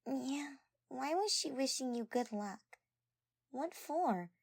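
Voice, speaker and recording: A woman performs a small, sleepy teenage girl who takes her magic very seriously. The voice is high and low-key, hesitant and shy. It sounds close and dry, like an audio story. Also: animated, takes it slowly, gravelly, no room sound, heavily acted. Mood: solemn, low-key.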